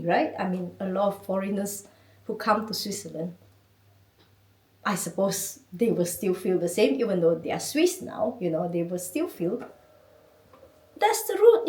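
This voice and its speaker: A woman from Malaysia with a Malaysian accent, chatting as a guest in an interview, animated and often laughing. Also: medium pitch. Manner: animated, laughing, chatty with many "you know"s. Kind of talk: casual interview. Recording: echoey room, mic at a distance.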